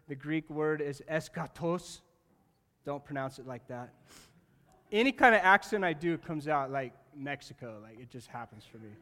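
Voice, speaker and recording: A man preaching a sermon to his congregation, animated and jokey, swinging from loud bursts to quiet asides and talking straight to the room. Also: on the high side. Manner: jokey, talks directly to the congregation. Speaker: pastor. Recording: long reverb of a large hall.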